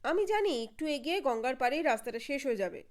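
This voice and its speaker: A woman voicing an excitable local man who eagerly recounts a suspicious night to detectives in an audio story. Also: sits high, fast. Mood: earnest, suspenseful. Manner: eager, vivid, dramatic storytelling.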